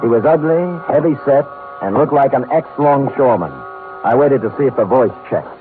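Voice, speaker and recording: An actor playing a wry, unflappable, hard-boiled private detective, acting and narrating in 1950s radio style. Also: male voice, mid-range voice, fairly quick, noisy background, lightly acted. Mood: wry narration. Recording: narrow-band old radio broadcast.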